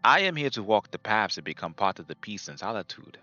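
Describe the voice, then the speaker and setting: A man's voice: fairly low pitch, very animated, a little fast, bright, forceful tone, no echo, soft background music. A man playing an arrogant, skeptical doctor of science with a pompous, demanding manner. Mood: impatient. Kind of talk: audio drama acting. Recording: slightly dull lo-fi recording.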